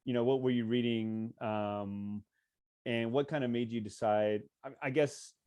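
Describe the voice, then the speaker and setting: A man's voice: medium pitch, steady tone, measured pace, mellow, clean tone. A man with an American accent hosting a podcast, asking questions in an informal, encouraging, conversational way. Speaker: podcast host. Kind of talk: casual interview.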